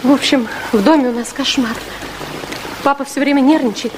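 A girlish, high-pitched young sweetheart, played by a woman, confides anxiously and openly. The acting is that of 1960s radio theatre, with clear noise over the recording. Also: steady tone, moderate pace, natural voice. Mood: anxious, confiding. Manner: girlish, confiding, emotionally open. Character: anxious, candid nineteen-year-old sweetheart from a professional family. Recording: noisy 1960s radio recording, rain-like hiss.

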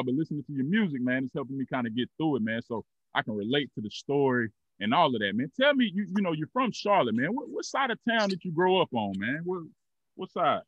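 A man's voice: medium pitch, dry recording, dull audio. A man in his thirties hosting a podcast, asking questions in a loose, rambling casual way with lots of fillers. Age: 30s. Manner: laid-back, loose and rambling with many fillers. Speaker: podcast host. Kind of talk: casual interview.

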